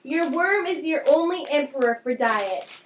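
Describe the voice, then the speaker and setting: A woman's voice: high-pitched, lively intonation, unhurried. A woman playing a mocking, riddling young prince in an amateur Shakespeare puppet show, declaiming old verse with a taunting edge. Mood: darkly taunting. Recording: echoey, distant, faintly noisy room recording.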